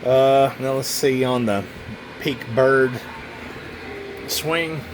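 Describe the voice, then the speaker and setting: A man's voice: mid-range voice, fairly even intonation, very slow, background noise. A man giving a casual, rambling hands-on product demo, muttering to himself as he goes. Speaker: radio seller demonstrating a CB radio.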